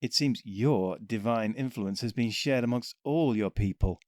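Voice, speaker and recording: A man voicing a smug scheming human official who murmurs quiet, amused threats. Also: medium pitch, a little slow, dry recording, lightly acted. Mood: sly murmur.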